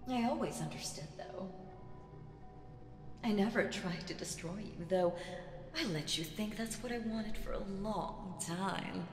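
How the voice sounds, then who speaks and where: Woman acting as a slow, echoing, seductive demon queen. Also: mid-range voice, natural voice. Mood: possessive, wistful. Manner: drawn-out, teasing, condescending, breathy whispered asides. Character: seductive, taunting demon queen, imperious and possessive. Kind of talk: slow roleplay audio drama monologue with whispers. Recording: echoey reverberant hall with music behind.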